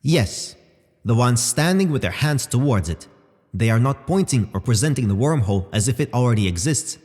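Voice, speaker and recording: A calm male robot companion played by an actor explains things with formal precision. His mid-pitched voice moves with lively intonation through a long, echoing hall. He stays measured and analytical, as a sci-fi radio play machine. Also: natural voice. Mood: explanatory. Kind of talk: scripted audio drama acting.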